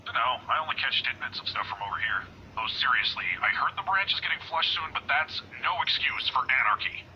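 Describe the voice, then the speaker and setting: Man acting bright-voiced, rambling old security guard. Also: mid-range voice, lively intonation, brisk, dull audio, a little hiss or hum. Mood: gossipy. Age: older. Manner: chatty, hesitant, forgetful. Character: chatty, kindly, fumbling old male security guard. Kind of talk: voice-acted visual novel dialogue.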